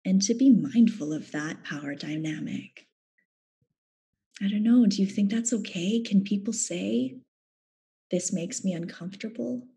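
A woman's voice: mid-range voice, gravelly, mellow, slightly rough. A Canadian woman, a theatre actor, speaking thoughtfully as a guest in a reflective conversation. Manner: thoughtful, measured.

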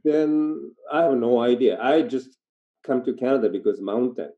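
An older man from Japan with a Japanese accent chats casually in halting, informal non-native English, telling stories as an interview guest. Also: mid-range voice. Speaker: interview guest, performer in dance theater. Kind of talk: casual conversational storytelling. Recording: dull, lo-fi sound.

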